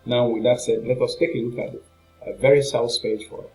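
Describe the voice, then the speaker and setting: A man giving an informal, halting and repetitive screen walkthrough as a product reviewer. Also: medium pitch, steady tone, a little mellow, soft background music, rough recording. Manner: halting, repetitive, rambling narration with filler phrases.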